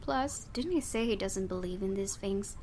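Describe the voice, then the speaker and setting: A woman reading a visual novel game aloud in an expressive storytelling style, with her own asides. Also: mid-range voice, moderate pace, a little hiss or hum.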